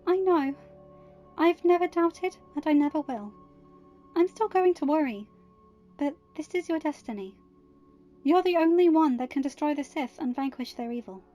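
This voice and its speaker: A woman narrator performs a devoted young wife in an audiobook drama. The voice is high and strained, full of fear and tears. It pleads with open emotion. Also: lively intonation, normal speed, pauses often, dark tone, lo-fi sound, soft background music. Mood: devoted, worried. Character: tearful, frightened young wife, loving and devoted.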